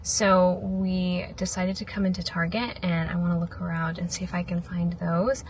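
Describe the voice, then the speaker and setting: Young American woman, casual lifestyle vlogger, slightly raspy voice, informal delivery, light background noise. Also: fairly low pitch, level tone, normal speed. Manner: informal, frequent "like" and "really". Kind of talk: casual chatty vlog to camera.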